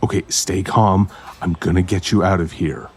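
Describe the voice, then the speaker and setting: An actor playing a grim, protective male investigator who talks tersely and deliberately in an audio drama. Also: low-pitched, steady tone, darkish tone, husky. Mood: tense, protective.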